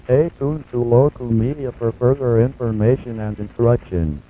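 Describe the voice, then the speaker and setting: A man's voice playing an impersonal automated emergency announcer, reading warnings in a flat, formal, measured way. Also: mid-range voice, close to an everyday voice. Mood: impersonal, grave. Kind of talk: scripted emergency broadcast warning. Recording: muffled lo-fi VHS broadcast audio.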